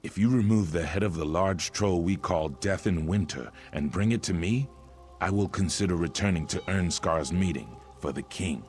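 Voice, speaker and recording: Deep, solemn reptilian warrior played by a man. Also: darkish tone, natural voice. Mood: bitter, proud. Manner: solemn, deliberate, resentful. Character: proud, aggrieved male reptilian warrior from a swamp people. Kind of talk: video game character dialogue. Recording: music behind the voice.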